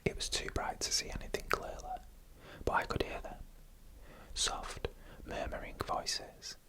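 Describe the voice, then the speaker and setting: Whispering ASMR horror storyteller. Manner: slow, deliberate, dramatic pauses between short phrases. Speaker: narrator.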